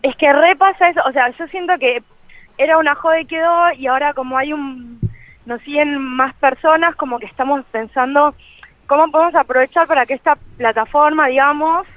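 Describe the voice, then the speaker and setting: A woman's voice: high-pitched, brisk, dry recording, narrow, tinny audio. A young Argentine woman chatting casually as an interview guest, with a colloquial, slangy and self-deprecating manner. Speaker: interview guest, co-creator of a meme page. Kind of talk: casual chat in a radio interview.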